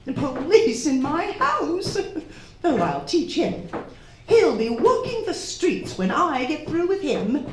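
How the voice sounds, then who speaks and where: A woman's voice: high-pitched, very animated, crowd noise, heavily acted. A woman playing a domineering, indignant society hostess and would-be medium in a theatrical radio drama. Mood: haughty indignation. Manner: imperious, indignant, scolding, drawn-out theatrical delivery. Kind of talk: live radio drama acting. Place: stage of a live theatre performance. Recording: roomy echoing live stage recording.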